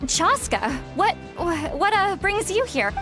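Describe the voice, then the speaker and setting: A woman playing a shrewd, world-weary self-styled granny healer in a video game, measured and knowing. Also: very high voice, very animated, full character voice. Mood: caught off guard. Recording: faint music under the voice.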